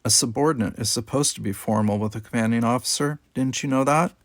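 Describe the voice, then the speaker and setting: A man's voice: medium pitch, fast talker, no echo, natural voice. A man as a feisty, blunt young woman cadet. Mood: cold, edgy. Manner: pointed, blunt, colloquial.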